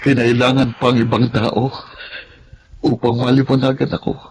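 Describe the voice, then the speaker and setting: A man's voice: mid-range voice, little pitch movement, normal speed, darkish tone, slight background noise, lightly acted, low-quality recording. A man playing a remorseful grown son in a sentimental, earnest radio drama, acting and narrating his life story. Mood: tearful remorse. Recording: music under the voice. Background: music.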